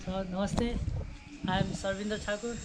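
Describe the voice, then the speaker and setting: A woman in her early twenties from Nepal with a Nepali accent, explaining things to visitors informally in halting, non-native English. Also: medium pitch, steady tone. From Nepal, near the Indian border. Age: early 20s. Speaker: orphanage staff member. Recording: noisy amateur video sound.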